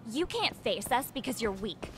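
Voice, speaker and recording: A woman voicing a spiteful, domineering high school bully in a video game dub, sneering and taunting. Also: big pitch swings, moderate pace, soft background music. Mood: contemptuous.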